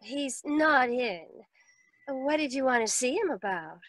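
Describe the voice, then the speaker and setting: A woman's voice: high-pitched, animated, measured pace, fairly bright tone, no echo, heavily acted. A woman playing a cool, sly young niece in 1940s radio drama, taunting with sugary sarcasm. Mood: coy.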